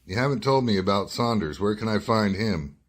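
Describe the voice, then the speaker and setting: An actor playing a dogged newspaper reporter in a radio detective drama, probing and wry in a hard-boiled way. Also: male voice, fairly low pitch, lively intonation, brisk. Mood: reassuring, wry. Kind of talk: radio detective drama acting and first-person narration.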